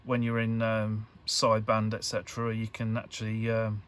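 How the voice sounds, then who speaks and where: A man giving an informal hands-on tutorial, talking in a halting, hesitant way as he thinks aloud. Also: on the low side, monotone, a bit bright. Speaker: electronics hobbyist presenter.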